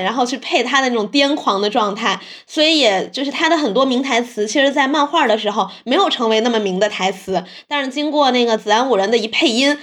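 A woman's voice: high voice, a bit bright. A woman co-hosting a podcast, giving her opinions in casual, colloquial chat. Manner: informal, colloquial. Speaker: podcast host.

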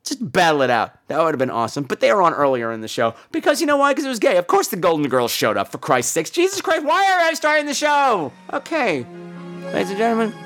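A man hosting a podcast in a campy, irreverent, rambling way, his voice hoarse from laryngitis. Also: sits high, sing-song, fast, fairly bright tone, soft background music. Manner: campy, irreverent, crude joking. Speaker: podcast host. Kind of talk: rambling comic casual chat.

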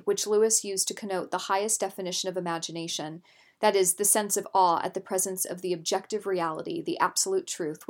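A woman narrating scripted nonfiction as an audiobook reader, in a measured, articulate way. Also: medium pitch.

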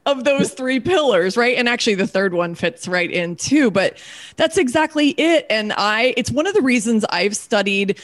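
A woman's voice: on the high side, lively intonation, normal speed. A woman hosting a podcast, chatting enthusiastically and gushing, with lots of "like" and "you know.". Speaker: podcast host. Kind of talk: conversational podcast interview.

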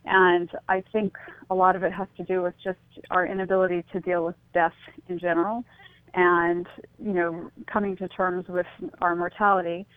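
A woman's voice: lowish voice, level tone, normal speed, no room sound. A woman speaking as an interview guest over the phone, thoughtful and tentative, searching for words as she goes. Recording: tinny narrowband phone line.

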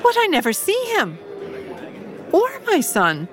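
An actress playing a genteel older society widow in an audio drama, polite, chirpy and refined. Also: female voice, sits high, huge pitch swings, a little slow, over music, exaggerated voice. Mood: wistful. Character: genteel, busy English society widow, bright and chatty.

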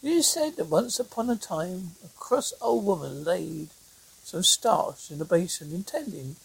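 A reader narrating a folk tale aloud in a halting amateur way, stumbling over words, with a husky, creaky voice. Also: medium pitch, animated, poor audio. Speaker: storyteller reading a fairy tale.

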